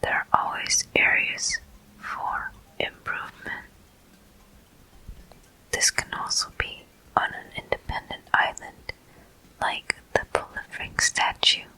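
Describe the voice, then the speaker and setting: A whispering game host giving soft, deliberate ASMR commentary with frequent pauses, close to the microphone. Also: low-quality recording. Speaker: host of gameplay walkthrough.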